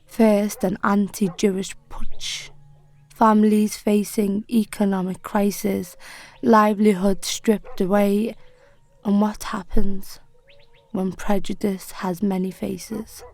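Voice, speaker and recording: A woman poet giving a slow, measured, solemn spoken-word poetry reading. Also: medium pitch, little pitch movement, a little mellow.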